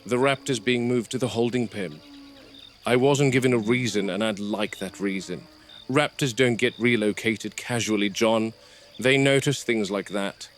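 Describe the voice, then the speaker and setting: A man playing a wary, gruff middle-aged game warden in a found-footage horror drama, speaking in a clipped, deliberate way. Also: lowish voice, lightly acted. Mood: suspicious. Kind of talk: found-footage horror acting, hushed muttering and radio call. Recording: noisy VHS-style found footage.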